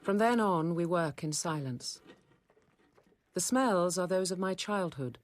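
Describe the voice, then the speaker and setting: A wry convent apothecary nun, lowish womans voice, measured radio drama delivery. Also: animated. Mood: reflective, measured. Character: composed, dryly witty nun apothecary in Renaissance convent. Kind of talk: radio drama acting and narration.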